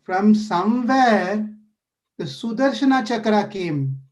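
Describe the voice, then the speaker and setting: A man's voice: sits high, big pitch swings, takes it slowly, a little mellow, pitched above the performer's own voice, full character voice. Man playing a frightened pleading sage. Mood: fearful, pleading. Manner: pleading, fearful. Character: frightened sage begging, older man, hot-tempered.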